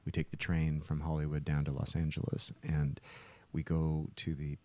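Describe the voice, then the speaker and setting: Very deep-voiced American man. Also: monotone, moderate pace, dark tone. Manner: sarcastic, rambling. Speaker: podcast co-host. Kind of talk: casual chat, anecdotal storytelling.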